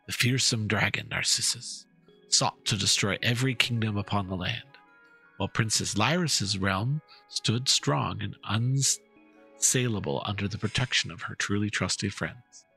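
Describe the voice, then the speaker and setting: A man's voice: on the low side, a little slow, creaky, bright, forceful tone, husky, full character voice, rough recording. A man performing a dramatic reading as a patient, theatrical storyteller coaxing a young listener through a fairy tale. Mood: storytelling. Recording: music behind the voice.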